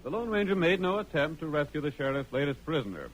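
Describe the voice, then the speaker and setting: A man's voice: on the high side, expressive, fast talker, over music, low-quality recording. An American man narrating a radio western as announcer in a scripted old-time style.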